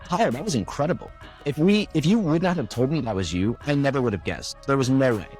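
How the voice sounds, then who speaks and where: A young man, a voiceover artist, chatting in an interview and telling animated stories, acting out the voices of people he quotes. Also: medium pitch, fast, faint music behind. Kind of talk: casual interview chat.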